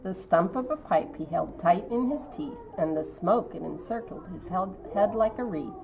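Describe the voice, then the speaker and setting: A woman reading a holiday poem aloud in a plain, unhurried way. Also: on the low side, expressive, gravelly, a crowd in the background, rough recording.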